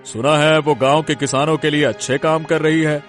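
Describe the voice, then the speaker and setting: A man's voice: a little high, fairly even intonation, normal speed, faint music behind, natural voice. A man playing a stern, domineering, vengeful village big man in a cartoon story, scolding and threatening. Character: menacing, vengeful village big man scheming against a young woman. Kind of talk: Hindi cartoon story acting.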